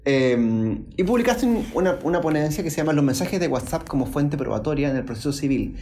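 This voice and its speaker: A man's voice: on the high side, animated, brisk, mellow, slight background noise. A man hosting a podcast interview, asking eager questions and often tripping over repeated words. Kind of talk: conversational interview.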